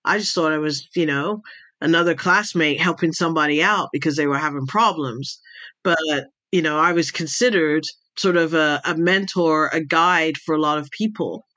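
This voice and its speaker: A woman's voice: low-pitched, normal speed, pushed and bright, no echo. A middle-aged woman with a blended British and American accent, telling her story casually and chattily as a podcast guest, often drifting into asides. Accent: mixed British and American. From raised between the Middle East, Europe and the United States. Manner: chatty, digressive. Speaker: podcast guest, intelligence advisor and speaker.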